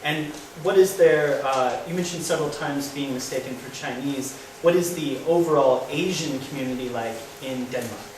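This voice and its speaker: A man moderating a question-and-answer session after a talk, speaking in a measured, polite way with some fillers. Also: medium pitch. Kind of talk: reading audience questions. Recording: echoey hall, mic far off, noisy.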